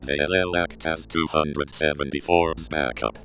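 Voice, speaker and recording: A man playing a cold, commanding crime boss in an audio drama, speaking curtly and calmly with authority. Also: very deep voice, slow pace, faint music behind, exaggerated voice. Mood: cold, menacing. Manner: curt, calm, authoritative. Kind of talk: scripted audio drama roleplay.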